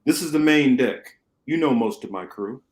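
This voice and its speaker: A man plays a calm, wise captain of a rebel ship in an amateur radio play. His delivery is measured and explanatory, in a mid-pitched male voice. The room around him is echoey. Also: natural voice. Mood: measured, assured.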